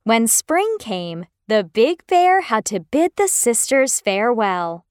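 A woman narrating a scripted children's fairy tale in an expressive, careful way. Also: fairly high pitch, slow, smooth, dry recording, clean studio sound. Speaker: storyteller.

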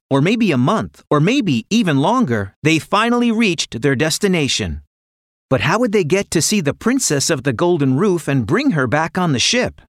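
A man's voice: a little high, fairly bright tone, no echo, clean studio sound. A man narrating a children's fairy tale in a dramatic, playful storytelling manner. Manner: dramatic, playful sound words.

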